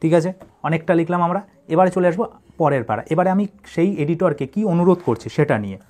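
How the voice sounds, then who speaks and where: A man's voice: a little high, animated, fast, warm tone. A man teaching English writing, dictating in short separated phrases and then explaining them.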